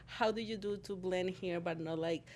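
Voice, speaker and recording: A woman's voice: lowish voice, steady tone, a little mellow. Woman cohost, lots of likes and restarts, a bit of hiss behind. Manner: halting, many fillers. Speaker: podcast co-host. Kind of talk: casual chat.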